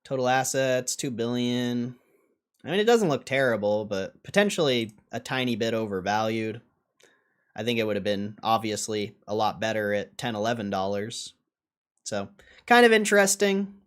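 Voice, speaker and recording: A man's voice: mid-range voice, animated, moderate pace, clear, resonant tone. A man hosting a livestream in a casual, blunt and dismissive way, swearing now and then as he answers chat. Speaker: livestream host.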